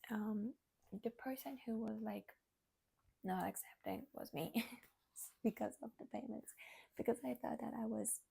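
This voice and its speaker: A woman in her twenties from Iran, a native Armenian speaker, talking candidly to camera in a personal vlog, hesitant and soft, with many fillers and restarts. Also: a little high, unhurried, creaky, long pauses, slightly rough. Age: 20s. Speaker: vlogger.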